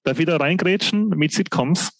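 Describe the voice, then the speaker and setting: A man's voice: on the high side, no room sound. A man, co-hosting a podcast, talking hesitantly with many fillers in casual chat. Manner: hesitant, many "ähm" fillers and restarts, slow when musing. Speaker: podcast co-host.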